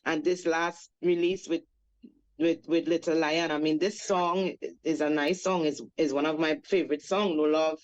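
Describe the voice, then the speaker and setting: A woman's voice: low-pitched, steady tone, moderate pace. A woman in her forties from Trinidad with a Trinidadian accent, a reggae singer guest recounting her life in a reflective, storytelling way with many fillers. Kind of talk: radio interview.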